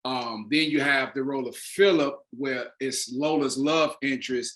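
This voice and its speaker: A man with an American accent talks as a stage director being interviewed. His pitch sits in an average male range, and his delivery is casual and promotional. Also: fairly bright tone.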